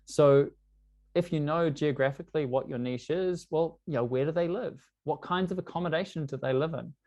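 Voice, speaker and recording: A man's voice: fairly high pitch, normal speed, mellow, lo-fi sound. New Zealand man presenting a business workshop. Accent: New Zealand English. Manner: conversational, uses many fillers like "you know". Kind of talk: online business workshop talk. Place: lives in London.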